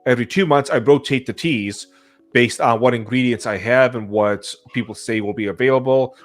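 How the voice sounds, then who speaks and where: A man's voice: medium pitch, normal speed, soft background music. A man hosting a casual podcast, talking informally with his co-hosts. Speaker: podcast host.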